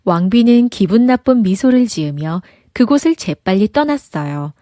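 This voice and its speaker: A woman narrating a children's picture book as an expressive storyteller. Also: mid-range voice, normal speed, dry recording, clean studio sound. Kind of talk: children's fairy tale narration.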